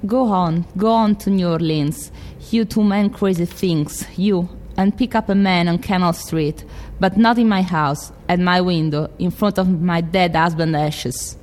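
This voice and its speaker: Brief: woman playing a defiant grieving widow, Italian accent, very low voice. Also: unhurried, hardly any pauses, a little mellow, long reverb tail, natural voice. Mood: defiant, proud. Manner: impassioned, defiant monologue reading. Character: proud grieving middle-aged widow, fiercely devoted.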